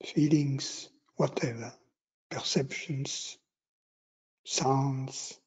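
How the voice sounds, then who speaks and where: A man teaching meditation, giving guided instructions in short, unhurried phrases with long pauses between them. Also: medium pitch, steady tone, darkish tone, husky.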